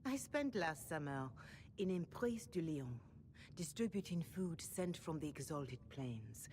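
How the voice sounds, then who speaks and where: A woman voicing a gracious, solemn older holy mother in a video game, speaking with formal, gentle courtesy. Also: low-pitched, lively intonation, measured pace, a little hiss or hum. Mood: solemn, grateful. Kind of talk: video game cutscene acting.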